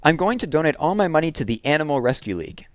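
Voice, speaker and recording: A man voicing an excitable, eager and determined young girl in a children's storybook. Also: a little high, quick pace, dry recording, voice pushed up. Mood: earnest.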